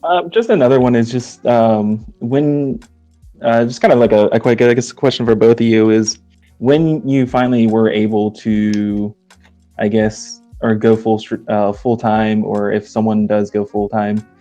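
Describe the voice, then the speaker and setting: A man co-hosting a podcast, asking a question haltingly with many ums. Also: fairly low pitch, fairly even intonation, mellow, soft background music.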